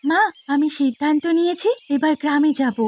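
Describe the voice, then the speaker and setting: A woman's voice: high voice, steady tone, fairly quick, darkish tone, no echo, close to an everyday voice. A woman voicing a devoted, respectful young policewoman in a cartoon fairy tale. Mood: earnest, grateful. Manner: respectful, earnest, grateful. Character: devoted grown daughter, earnest young policewoman.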